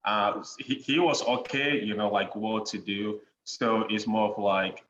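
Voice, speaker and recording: A young man with a Ghanaian accent, a podcast guest chatting in a relaxed way, with many "you know" fillers and frequent chuckles. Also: fairly low pitch, a little slow, a crowd in the background. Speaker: guest, real estate investor. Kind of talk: casual interview conversation. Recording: dull lo-fi sound in an echoey room with faint noise.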